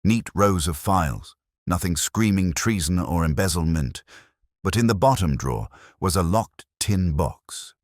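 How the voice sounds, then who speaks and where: A man's voice: deep voice, animated, measured pace, no room sound. A man playing a wry, tough detective's assistant who narrates a mystery story in a dry, wisecracking manner. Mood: wry, probing. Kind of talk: first-person detective audiobook narration.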